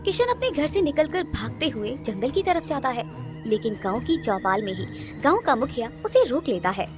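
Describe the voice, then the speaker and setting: A female narrator tells a scripted story. Her voice sits fairly high, and music plays faintly behind her. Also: big pitch swings, normal speed.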